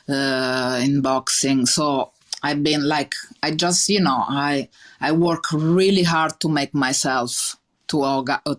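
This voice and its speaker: A woman in her thirties with a strong Italian accent, speaking earnestly in a podcast interview, with many fillers and restarts. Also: very deep voice. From Italy. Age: 30s. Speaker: chef guest.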